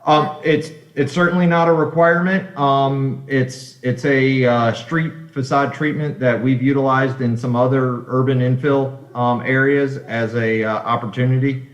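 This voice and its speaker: A man speaking as an architect at a public meeting, answering questions in halting, hesitant phrases. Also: medium pitch, steady tone. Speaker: architect presenting for an applicant. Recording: echoey, dull video-call audio.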